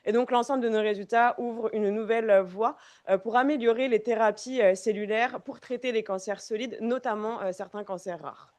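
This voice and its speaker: Une jeune femme étudiante présente brièvement sa thèse lors d'un congrès, avec de nombreuses hésitations. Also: female voice, on the high side, steady tone, a little mellow. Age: young adult. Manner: frequent fillers, measured delivery. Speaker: graduate student presenter. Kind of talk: short thesis presentation.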